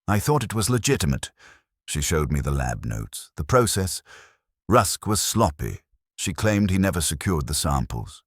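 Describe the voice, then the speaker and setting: A man voicing a sharp, guarded woman chef who answers in short, defensive lines. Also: low voice, big pitch swings, normal speed, slightly rough, no echo, studio quality, exaggerated voice. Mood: anxious.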